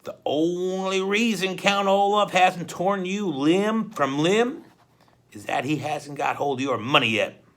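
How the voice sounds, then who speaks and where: A man voicing a sneering, threatening henchman in a storybook read-aloud, with a croaking voice and sharp, emphatic threats. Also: high voice, measured pace, pitched above the performer's own voice. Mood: menacing.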